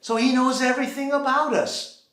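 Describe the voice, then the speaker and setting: An American man performing a theatrical solo monologue, deliberately acting out one side of a phone call on a theater stage. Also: extremely high pitch, steady tone, fairly bright tone. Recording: roomy, echoing live theater sound.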